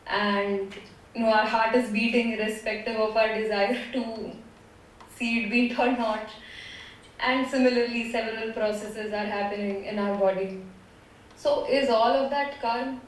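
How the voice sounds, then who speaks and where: A woman's voice: on the high side, steady tone, a little slow, fairly bright tone. Distant, very echoey woman asking carefully. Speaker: student asking a question. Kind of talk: thoughtful spoken question in a class. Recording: distant, very echoey, faint noise.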